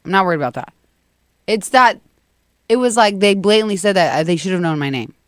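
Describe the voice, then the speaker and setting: A woman with a husky voice co-hosting a morning radio show, chatting animatedly and laughing often. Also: medium pitch, animated, a little fast, no echo, studio quality. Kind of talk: casual morning radio banter. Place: radio studio.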